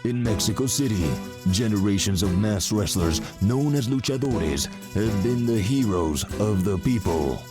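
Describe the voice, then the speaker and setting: A deep-voiced, grandiose narrator of a pulp adventure audio drama. His delivery is portentous and theatrical, set over a strong bed of music. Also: lively intonation, unhurried. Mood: grand. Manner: portentous, ominous.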